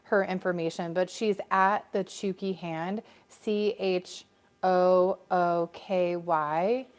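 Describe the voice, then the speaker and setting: A woman's voice: lowish voice, fairly even intonation, takes it slowly. An American woman co-hosting a casual live stream, chatting upbeat and reading out viewer comments.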